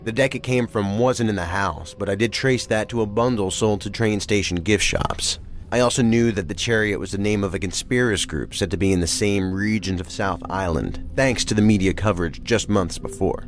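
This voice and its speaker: An actor playing a methodical, matter-of-fact federal agent in an audio drama. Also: male voice, fairly low pitch, fairly quick, natural voice.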